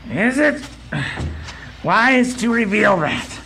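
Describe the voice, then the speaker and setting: Creaky, sadistic Nazi henchman. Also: sits high, expressive, slow pace, sharp tone, slight background noise, heavily acted. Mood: whiny. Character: gleefully sadistic, grumbling male Nazi henchman. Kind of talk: audio drama acting.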